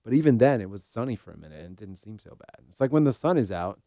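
A man's voice: fairly low pitch, very animated, quick pace, warm tone, no echo, clean studio sound. An American man hosting an informal podcast discussion in an easygoing, conversational way. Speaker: podcast host and practicing therapist.

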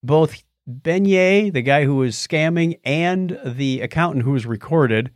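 A man's voice: on the high side, unhurried, no echo, studio quality. A man co-hosting a podcast, casually retelling a story in a conversational way with asides. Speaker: podcast co-host. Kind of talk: casual chat, recounting a documentary.

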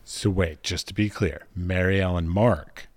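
Deep-voiced casual male interviewer. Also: steady tone. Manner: casual, chatty, quick to joke. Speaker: interviewer host. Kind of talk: interview conversation.

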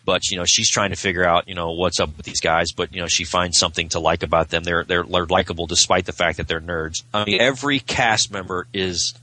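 A man's voice: sits low, fairly even intonation, a little fast, hardly any pauses, bright, forceful tone, rough recording. A man chatting casually and enthusiastically as a podcast guest. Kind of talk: casual chat.

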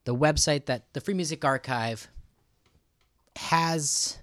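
Halting man hosting a casual interview. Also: a little high, a bit bright, no echo, clean studio sound. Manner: halting, thinking aloud. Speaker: podcast host.

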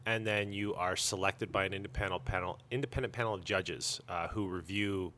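A man telling stories informally as an interview guest, animated and a little self-deprecating. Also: sits low, fairly quick. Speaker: interview guest, comic store owner. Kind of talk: casual storytelling interview.

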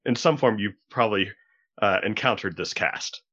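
A man's voice: lowish voice, normal speed, a bit bright, slightly rough. A man chatting casually on a podcast, recorded with a dull, lo-fi sound. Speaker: podcast co-host or returning guest. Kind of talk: casual chat.

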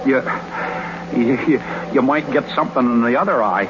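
An actor playing a bashful, tongue-tied young ballplayer in love, stammering and folksy in a 1930s radio comedy. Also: male voice, medium pitch, normal speed, close to an everyday voice. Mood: awkward, lovesick. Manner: stammering, folksy ungrammatical speech. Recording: noisy, muffled 1930s radio broadcast.

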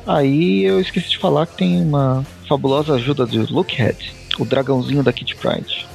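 Gravelly Brazilian man recapping comics. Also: on the high side, fairly even intonation, moderate pace, a little mellow, faint music behind, poor audio. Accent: Brazilian Portuguese. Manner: laughs often, recaps plot in loose asides. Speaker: podcast co-host. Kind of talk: casual comic review chat.

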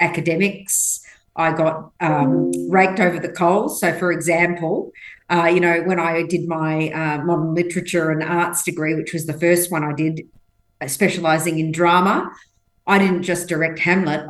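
Woman podcast guest, english not her first language, lowish voice, emphatic storyteller, does the quotes. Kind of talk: conversational interview storytelling.

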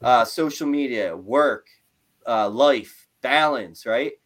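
A man hosting a casual interview chat, talking in an animated, rambling way with lots of "like" and "right?". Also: medium pitch, takes it slowly. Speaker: podcast host.

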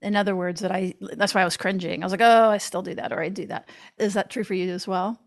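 A woman's voice: medium pitch, steady tone, fast. A woman co-hosting a podcast, asking questions in a candid, self-deprecating and curious way. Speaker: podcast co-host. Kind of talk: conversational interview.

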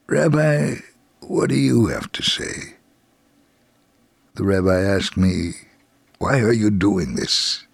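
A man reading a scripted story for radio as narrator, solemn and measured, with a gravelly, husky voice. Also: on the low side, no room sound.

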